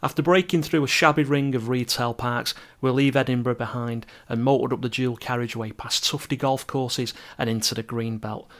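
A man's voice: mid-range voice, steady tone, normal speed, hardly any pauses, fairly bright tone. Wry middle-aged man narrating a travel podcast. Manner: wry, self-deprecating. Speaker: podcast host and travel writer. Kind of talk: scripted travel narration with chatty asides.

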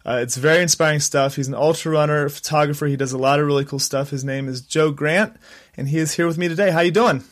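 A man hosting a podcast, upbeat and energetic, with a stuffy nasal voice from a sinus infection. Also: a little high, quick pace, no echo, studio quality. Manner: upbeat, energetic, frequent restarts. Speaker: podcast host. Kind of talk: podcast intro and interview. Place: Colorado.

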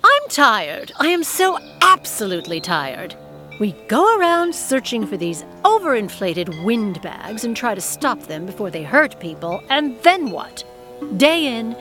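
A woman's voice: medium pitch, a bit bright. A woman playing a weary, sardonic witch leader in an audio comedy, speaking in a dry, exasperated, theatrical way.

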